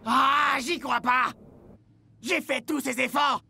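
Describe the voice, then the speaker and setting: A man's voice: very high voice, steady tone, slow, vocal fry, shouting, background noise, full character voice. A man voicing a vain, theatrical, self-pitying young soccer player in a French cartoon dub. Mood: indignant.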